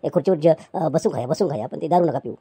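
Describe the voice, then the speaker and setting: Lady voicing a meek chair seller guy, super fast, husky, dry close sound, keeps pleading. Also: low-pitched, mellow, dry recording, heavily acted. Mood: placating. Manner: pleading, placating, stammering restarts.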